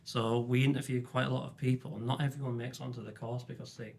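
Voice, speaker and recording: A man, a teacher trainer on a podcast, speaking conversationally with quick asides. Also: mid-range voice, level tone, vocal fry, a little mellow, slight background noise. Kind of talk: casual interview.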